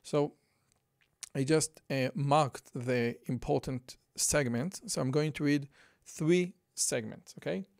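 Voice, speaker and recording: A man's voice: fairly high pitch, slow pace, gravelly, darkish tone, no echo, studio quality. A man with a thick Israeli accent, hosting a podcast and giving earnest commentary on a news article, repeating his points for emphasis. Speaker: podcast host.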